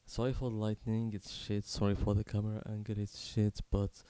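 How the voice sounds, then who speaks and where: A man in his twenties from Morocco tells a personal story unscripted, in a halting, candid, casually sweary way. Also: lowish voice, little pitch movement, dark tone, clean tone, no room sound. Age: 20s. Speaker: video creator telling his life story.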